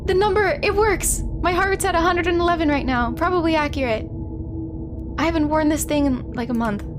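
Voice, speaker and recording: A woman hosting a live stream, chatting casually with viewers in an excitable, giggly, exclaiming way. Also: very high voice, fairly quick, background noise. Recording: background music behind voice. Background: music.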